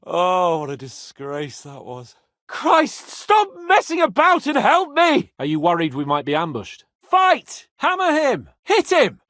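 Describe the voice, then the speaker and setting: A man playing a spoiled, cocky young nobleman in a video game, flippant and theatrical, swinging between whiny and wry, with a husky voice. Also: sits high, wildly swooping pitch, a little slow, fairly bright tone, dry recording, studio quality, heavily acted. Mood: urgent, exasperated. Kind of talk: video game character voice acting.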